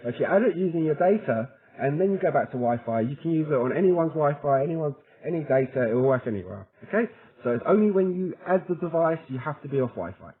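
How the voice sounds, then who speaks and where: A man's voice: fairly high pitch, animated, fast talker, hardly any pauses. A man presenting a how-to tutorial in an informal, chatty way, often checking in with the listener as he explains each step. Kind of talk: casual how-to walkthrough. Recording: thin, phone-like narrow-band audio.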